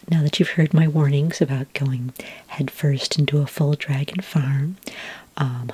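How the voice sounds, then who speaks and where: A woman playing a patient, helpful dragonmaster in a soft-spoken ASMR roleplay, whispering breathily and explaining gently and hesitantly up close to the microphone. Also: extremely low pitch, slightly rough, exaggerated voice, poor audio. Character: patient, helpful female dragonmaster giving business advice. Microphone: close microphone in a dry space.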